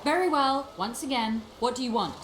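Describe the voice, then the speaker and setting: A woman voicing a brisk, sly female circus leader in a video game, theatrical, haughty and sharp-witted. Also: sits high, slight background noise, natural voice. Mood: shrewd, businesslike. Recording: echoey game scene with music.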